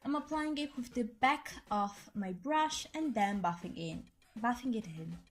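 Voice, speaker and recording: Hoarse young woman narrating makeup tutorial. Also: on the high side, lively intonation, a little slow. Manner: chatty and enthusiastic. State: hoarse, losing her voice. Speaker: beauty vlogger. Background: soft background music.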